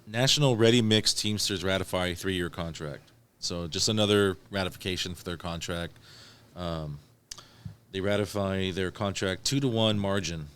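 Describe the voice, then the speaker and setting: A man's voice: sits low, moderate pace, a bit bright, slight background noise. An American man co-hosting a podcast, speaking informally as he chats and shares news items. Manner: informal, swears. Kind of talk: casual chat with news read out.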